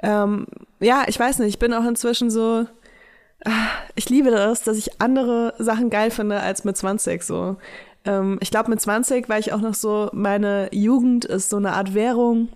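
A woman's voice: mid-range voice, fairly even intonation, normal speed, a little mellow. Give me a woman in her late twenties, co-hosting a podcast, speaking frankly and loosely with plenty of fillers. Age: late 20s. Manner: candid, rambling, lots of fillers and "geil". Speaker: podcast co-host. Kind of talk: casual podcast chat.

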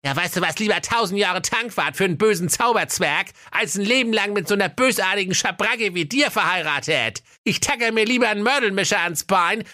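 Boastful bumbling young suitor. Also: male voice, on the high side, pushed and bright, dry recording, clean studio sound, pitched above the performer's own voice. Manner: boastful, stammering. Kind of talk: comic fairy-tale reading.

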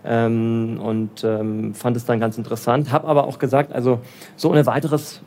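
A German man, probably in his thirties, answering interview questions in a relaxed, hesitant way with many fillers and restarts. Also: mid-range voice, moderate pace, slight background noise. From Germany, raised in Bavaria. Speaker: interviewee, development finance professional in Lagos.

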